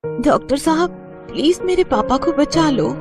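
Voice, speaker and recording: Woman voicing an earnest poor cartoon student, high, lively voice. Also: unhurried, music in the background. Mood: anxious. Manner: earnest, pleading. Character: poor studious young woman, proud and caring.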